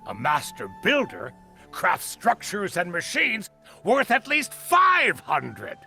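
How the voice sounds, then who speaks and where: An actor playing a bitter, hard-nosed senior craftsman in a video game, speaking in a scornful, deliberate, theatrical way. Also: sits high, huge pitch swings, gravelly, pushed and bright, faint music behind, heavily acted. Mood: cold, bitter. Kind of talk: dramatic video game voice acting.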